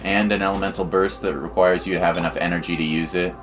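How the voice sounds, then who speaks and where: A male game streamer with a low-pitched voice gives casual live commentary. He rambles informally through his thoughts, with game music playing faintly behind him. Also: steady tone, fast talker.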